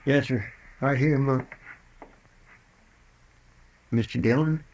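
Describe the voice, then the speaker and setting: An American actor playing a loyal, deferential, folksy western deputy in an old-time radio drama. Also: male voice, medium pitch, takes it slowly, a little mellow, close to an everyday voice. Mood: anxious, earnest. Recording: noisy old radio recording.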